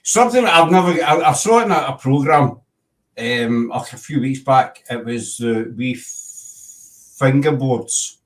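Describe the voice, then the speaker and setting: A man's voice: medium pitch, unhurried. A man with a Glaswegian Scottish accent hosts a loose livestream chat. He rambles, restarts his sentences and keeps breaking off to greet viewers. His voice sounds a little distant from the mic. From Scotland. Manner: rambling, self-interrupting, chatty with viewers. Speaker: host.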